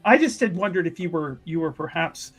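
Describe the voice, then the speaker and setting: A woman acts out a probing church official in a tabletop fantasy game. The voice is husky and very animated, with big swings in pitch. The official is overly polite, halting and repetitive, with faint music underneath. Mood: flustered, inquisitive. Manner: halting, repeats phrases eagerly, stammering politely. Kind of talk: improvised tabletop roleplay.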